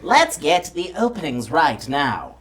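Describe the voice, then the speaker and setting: A parade of theatrical character voices. The delivery is slow and deliberate, and the pitch swings to extremes from one character to the next. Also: male voice, very animated, fairly bright tone, heavily acted. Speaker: voice actor. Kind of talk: voice acting demonstration.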